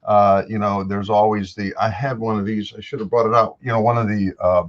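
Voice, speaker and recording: An older man with a deeper voice, a voice-over actor talking easily as an interview guest into a studio microphone. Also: flat delivery, a little fast, highs cut off. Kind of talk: casual interview chat. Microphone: studio dynamic microphone.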